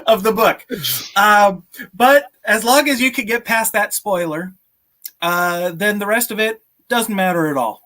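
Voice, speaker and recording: A man in his thirties talking casually and haltingly as co-host of a live stream over a video call. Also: high-pitched, pushed and bright. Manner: halting, chuckles often. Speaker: author and game creator co-hosting a live stream. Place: indoor room at home, video call.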